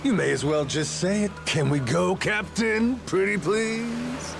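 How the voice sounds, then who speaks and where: An actor playing a brash, good-humored pirate captain in a video game, with a swaggering delivery. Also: male voice, high voice, unhurried, creaky, over music. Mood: eager.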